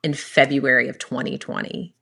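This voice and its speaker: Bright-voiced woman telling a lively story. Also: very deep voice, gravelly. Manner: animated, quick and full of restarts. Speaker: worship singer and recording artist. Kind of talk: interview storytelling.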